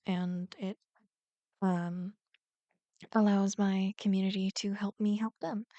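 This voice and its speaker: Casting: female online creator, informal rambling chat, medium pitch with vocal fry, very dry close sound. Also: no room sound. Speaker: ASMR roleplay creator. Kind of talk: casual chat to viewers.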